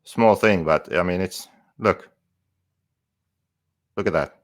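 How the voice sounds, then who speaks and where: A man co-hosts a podcast and banters in a teasing, halting way. His voice sits low. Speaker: podcast co-host. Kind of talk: casual banter.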